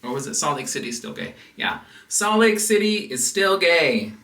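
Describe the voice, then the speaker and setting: An American man telling a personal story as a casual vlog host, in a rambling, halting way. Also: on the high side, very animated, bright, forceful tone. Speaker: house music producer and DJ hosting a vlog. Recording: roomy, distant mic with background noise.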